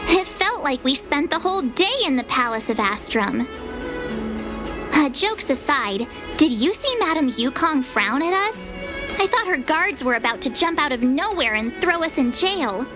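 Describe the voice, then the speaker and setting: Cheeky anime-game girl, real high and bouncy pitch, dramatic and chatty, takes her time. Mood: sleepy, surprised. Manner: expressive, informal, complaining. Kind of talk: scripted video game cutscene acting.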